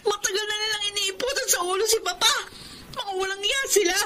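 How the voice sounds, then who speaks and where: An actress with a Filipino accent playing an intense, grieving and furious married daughter in a melodramatic radio drama. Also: female voice, very high-pitched, fast, a crowd in the background. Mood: hurt, bitter. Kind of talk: Tagalog radio drama acting.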